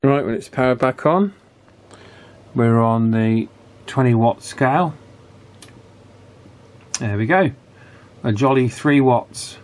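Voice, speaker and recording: A man's voice: mid-range voice, takes it slowly, long pauses. A British man giving casual, hands-on repair commentary, thinking aloud in a matter-of-fact way as he presents. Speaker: radio repair hobbyist presenter.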